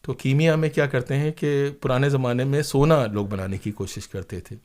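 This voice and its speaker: An older man speaks as a guest in a conversation. His pitch sits in the middle range. He tells anecdotes in a digressive, conversational way, slipping into reported dialogue. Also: normal speed, darkish tone. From India. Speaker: interview guest, broadcaster and playwright.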